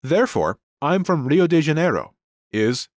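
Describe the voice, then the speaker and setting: An expressive male lesson narrator. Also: on the high side, normal speed, no room sound, clean studio sound. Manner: clear, explanatory teacher delivery. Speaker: language course narrator. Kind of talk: scripted grammar lesson narration.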